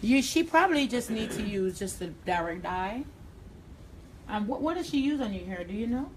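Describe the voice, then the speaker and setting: A woman's voice: mid-range voice, expressive, moderate pace, a little hiss or hum, rough recording. A woman with a Jamaican accent, a hairstylist giving a live tutorial in a salon, talking bluntly and directly. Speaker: hairstylist and educator. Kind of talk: live tutorial while cutting hair. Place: hair salon.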